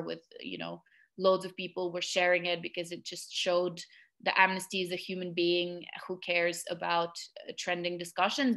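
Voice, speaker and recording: A woman's voice: fairly low pitch, a bit bright. A young woman presenting a webinar over a video call, talking casually with fillers and restarts. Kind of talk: webinar talk over slides. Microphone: video call webcam.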